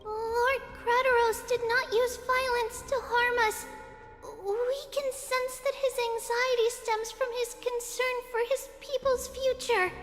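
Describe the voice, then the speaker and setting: Very high-pitched little girl. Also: little pitch movement, measured pace, fairly bright tone. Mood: urgent pleading. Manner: earnest, courteous. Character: small, earnest, polite childlike girl, gentle peacemaker. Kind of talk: dramatic video game acting. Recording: hall reverb under music.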